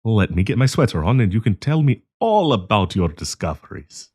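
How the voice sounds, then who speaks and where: A man's voice: on the low side, big pitch swings, darkish tone, slightly rough. A man playing a kindly old professor with a hidden possessive menace, courtly and teasing in an intimate audio roleplay. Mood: teasing, fond. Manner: courtly, teasing, chuckling, deliberate.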